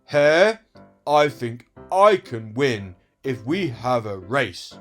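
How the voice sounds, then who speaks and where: A man voicing a steady, determined male tortoise in a children's storybook fable, speaking in a deliberate, drawn-out way. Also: mid-range voice, faint music behind. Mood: indignant. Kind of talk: storybook read-aloud character voice.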